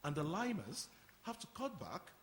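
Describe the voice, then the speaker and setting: Man acting polite practical man, highish voice. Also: huge pitch swings, moderate pace, full character voice. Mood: upbeat, explanatory. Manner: polite, explanatory. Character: Carl, courteous, practical community centre man. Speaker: actor in a live radio play. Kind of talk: radio drama acting before an audience. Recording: reverberant hall, faint background noise.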